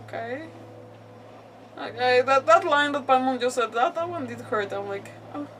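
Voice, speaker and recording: A woman's voice: high voice, normal speed. A woman streaming a game with casual, rambling commentary, reacting and arguing back at the characters. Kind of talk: casual live gameplay commentary. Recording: slightly distant mic, faint background noise.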